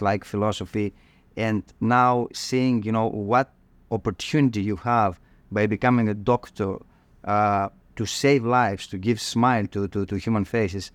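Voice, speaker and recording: A middle-aged man with a Greek accent speaks as an interview guest. His voice is low and clean, recorded dry. He answers reflectively, often pausing and repeating words as he thinks. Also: no echo. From northern Greece. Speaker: podcast guest, cardiologist.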